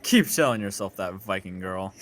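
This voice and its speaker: A man's voice: low-pitched, expressive, moderate pace, fairly bright tone, faint music behind. A man playing a cocky, sneering teenage school bully. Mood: taunting.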